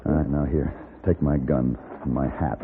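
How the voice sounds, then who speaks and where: An actor playing a cool, resourceful gunfighter in a 1950s radio western, speaking in clipped, matter-of-fact commands. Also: male voice, extremely low pitch, fairly even intonation, normal speed. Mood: calm, steady. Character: cool, resourceful male gunfighter protecting a woman. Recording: noisy, tinny 1950s radio recording.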